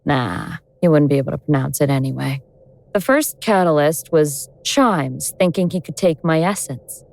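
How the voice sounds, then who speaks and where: A woman playing an awakened ancient goddess of vengeance in human form, speaking in a wry, deliberate, sardonic way in an audio drama. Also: sits low, vocal fry, darkish tone, no echo. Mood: wry, scornful. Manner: sardonic, world-weary, deliberate explaining.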